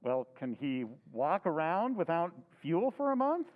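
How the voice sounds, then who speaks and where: An actor playing a flustered, excitable henpecked husband in an old-time radio drama recreation. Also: male voice, fairly high pitch, unhurried, long reverb tail, phone-quality sound.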